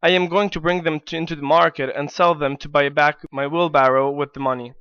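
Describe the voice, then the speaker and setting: Man playing an honest kind-hearted storybook gardener, smooth voice, meek, eager, dry muffled lo-fi sound. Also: fairly high pitch, level tone, fairly quick, dry recording, lightly acted. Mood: grateful. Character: honest, kind-hearted, humble, trusting little gardener fellow. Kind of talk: acted reading of a fairy tale.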